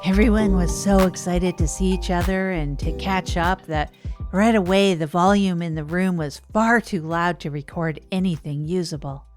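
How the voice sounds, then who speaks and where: A woman's voice: lowish voice, darkish tone, close to an everyday voice. A woman playing an enthusiastic, overwhelmed small-town reporter, narrating conversationally in a comedy audio drama. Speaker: narrator and series creator.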